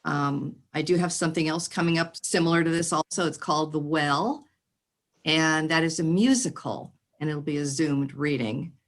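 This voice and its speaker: Woman, low voice, actress, casual self-introduction, remote video call. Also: normal speed. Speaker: actress, voiceover artist and singer.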